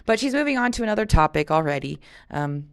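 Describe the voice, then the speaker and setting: A woman reading a story aloud in an expressive audiobook style, with casual, conversational commentary as she leads the discussion. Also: mid-range voice, fast. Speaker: reader leading a read-aloud and discussion.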